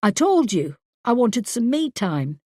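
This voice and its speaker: A woman voicing a cold, detached middle-aged husband who answers in flat, clipped lines. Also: extremely high pitch, a little slow, no room sound, clean studio sound, exaggerated voice. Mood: dismissive.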